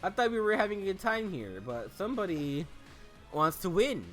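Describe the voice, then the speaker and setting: A man giving joking gameplay commentary, animated and peppered with "like". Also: high-pitched, normal speed, faint music behind. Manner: animated, joking, heavy use of "like". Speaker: let's play host.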